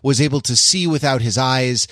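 A man hosting a casual podcast discussion, talking in a rambling, halting way and often repeating words mid-sentence. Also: mid-range voice, fairly bright tone, no room sound. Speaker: podcast host.

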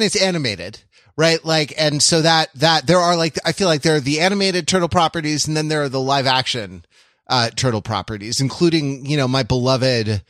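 A man co-hosting a podcast, talking through films casually in a halting, discursive way with many false starts. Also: medium pitch, a bit bright, no room sound, studio quality. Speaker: podcast co-host.

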